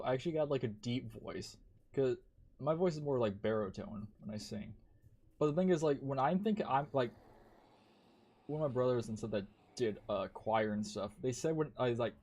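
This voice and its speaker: A man's voice: medium pitch, a little mellow. A man chatting casually while gaming on a stream, rambling, swearing a lot and laughing often.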